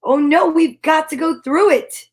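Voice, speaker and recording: Female storyteller for kids' read-aloud: high voice, slow pacing, playful and dramatic, casual asides. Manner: sing-song repetition, dramatic exclamations, playful sound words. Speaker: host reading picture books aloud.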